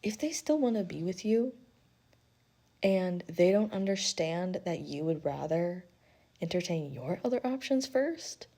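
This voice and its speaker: A woman's voice: fairly low pitch, animated, unhurried, dark tone. A woman host giving a casual spiritual reading in a blunt, sweary, conversational way, animatedly acting out quotes as she goes. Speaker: tarot-style spiritual reader and host. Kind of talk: casual intuitive reading, chatty advice.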